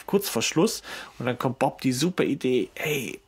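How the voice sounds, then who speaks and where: A man's voice: medium pitch, lively intonation, normal speed, breathy edge. A man chatting casually as a podcast host, retelling a story in colloquial, crude slang. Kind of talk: casual chat retelling an audio drama episode.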